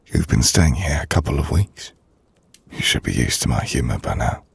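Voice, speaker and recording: Guy doing an ancient vampire lord for asmr roleplay, super low breathy voice, formal and dry, brooding but courteous, close and dead dry sound. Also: expressive, moderate pace, no room sound, full character voice. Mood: dry teasing. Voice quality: gravelly, breathy, near-whispered. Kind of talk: intimate ASMR roleplay acting.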